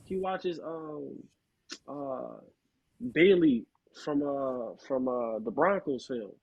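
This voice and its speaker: A man's voice: medium pitch, sing-song, slow pace, gravelly, a little mellow, dull audio. An American man chatting casually as a sports panelist, slangy and enthusiastic like a devoted fan. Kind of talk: casual chat about football prospects.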